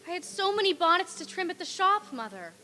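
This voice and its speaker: A dutiful young daughter played by a woman in a live radio play. The voice is very high and bright, in a reverberant hall. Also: sing-song, fairly quick, slight background noise, full character voice. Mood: sweet. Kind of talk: old time radio stage reading.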